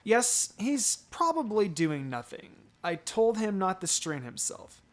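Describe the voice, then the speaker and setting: A man's voice: high voice, big pitch swings, measured pace, pitched above the performer's own voice. A man voicing a kind, welcoming mother in a fan fiction reading. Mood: kindly welcoming.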